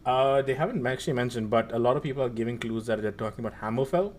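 A man's voice: mid-range voice, fast, darkish tone. Chatty young man rambling about games. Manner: chatty, rambling with fillers and restarts. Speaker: podcast host. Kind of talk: casual gaming chat.

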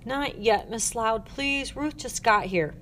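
A woman's voice: mid-range voice, moderate pace, lightly acted. Lonely, earnest girl played by a woman, pleading audiobook character, noisy recording. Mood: begging.

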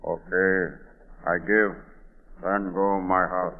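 A man's voice: deep voice, level tone, slow. An actor playing a stoic male cave guide who speaks in clipped broken English in an old radio drama. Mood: matter-of-fact. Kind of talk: 1940s radio drama acting. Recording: tinny, band-limited old radio recording.

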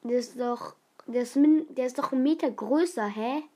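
Chatty woman reacting, slightly high. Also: moderate pace, warm tone. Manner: chatty, questioning, hesitant with fillers. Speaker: reaction commentator. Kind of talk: casual reaction commentary over a video.

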